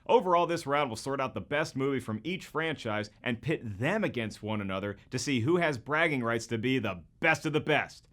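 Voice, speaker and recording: A man's voice: mid-range voice, expressive, normal speed, hardly any pauses, a bit bright. A man hosting a scripted video commentary in a jokey, colloquial and emphatic way. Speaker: host.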